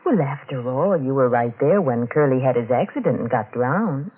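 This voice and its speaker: A woman's voice: very deep voice, animated, normal speed, breathy edge, narrow, tinny audio, heavily acted. A young woman playing a cool, sly, self-assured wife in a 1940s radio drama, silky and pointedly insinuating, on a thin old recording. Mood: sly, threatening. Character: cool, sly young wife, sure of herself, quietly threatening.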